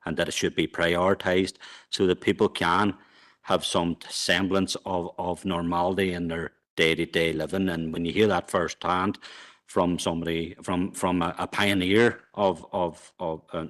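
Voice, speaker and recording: A man, a councillor, speaking at a council meeting in a halting way, often restarting his phrases. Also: deep voice, little pitch movement, a bit bright.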